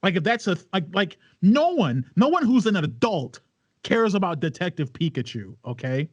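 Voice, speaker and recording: A man's voice: sits high, big pitch swings, normal speed, no echo. A man, a podcast panelist who banters casually in an argumentative, exasperated way.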